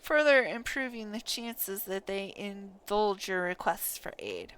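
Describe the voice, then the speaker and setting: A woman livestreaming a game playthrough, reading dialogue aloud in a theatrical, deliberate way with muttered asides. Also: mid-range voice, expressive, fairly bright tone, poor audio. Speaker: game streamer.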